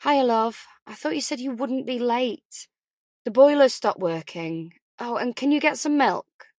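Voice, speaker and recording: A woman voicing an anxious, tearful young first-time mother in an audiobook, emotional and hurried. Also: fairly high pitch, darkish tone, dry recording, studio quality, pitched above the performer's own voice. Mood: weary. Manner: emotional, hurried, spilling worries. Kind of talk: audiobook character dialogue.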